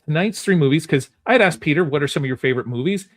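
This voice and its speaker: Animated male podcast game host. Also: a little high, rapid-fire. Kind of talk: casual movie game-show hosting.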